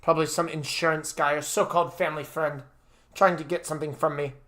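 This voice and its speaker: Story role, read by a man: mournful young heir, sullen and argumentative, fairly high male voice. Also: level tone, fast talker, bright, forceful tone. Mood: defiant. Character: grieving young upper-class heir, tearful and stubborn.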